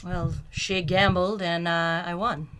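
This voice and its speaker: A woman playing a guarded, commanding starship captain in a science fiction audio drama, with a terse, deliberate manner. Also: lowish voice, level tone, fairly bright tone. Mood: wry, evasive.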